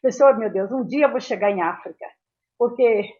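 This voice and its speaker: An elderly woman tells her memories as an interview guest. Her voice sits fairly high, and she rambles from detail to detail, often tagging phrases with a small question. The sound is somewhat dull and lo-fi. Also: a little fast, darkish tone. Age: 70s. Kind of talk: reminiscing in a casual interview.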